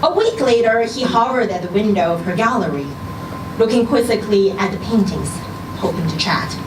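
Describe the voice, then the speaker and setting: A woman giving an expressive narration of a scripted short story at a live reading. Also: medium pitch, normal speed. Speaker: story reader at a live literary event. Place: indoor social venue, live reading event. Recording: roomy live venue, distant mic, noisy background.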